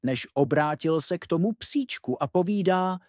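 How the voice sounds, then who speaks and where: A man's voice: fairly high pitch, lively intonation, a little mellow, dry recording, studio quality. A man narrating a fairy tale as a scripted storyteller.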